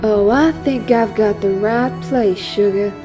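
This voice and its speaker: An actress playing a guarded, hot-tempered young superheroine in an audio drama, with a Southern US accent and a sassy, folksy manner. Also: female voice, mid-range voice, unhurried, distant mic, music in the background, lightly acted. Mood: urgent.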